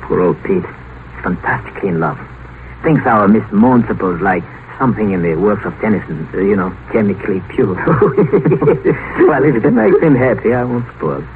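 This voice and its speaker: A man's voice: fairly low pitch, expressive, moderate pace, natural voice. Brash, cynical doctor played by a man, crackly old radio. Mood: breezy, knowing. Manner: breezy, sardonic, domineering. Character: brash, cynical male doctor and longevity researcher. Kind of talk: 1950s radio drama acting. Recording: noisy, tinny old 1950s radio broadcast.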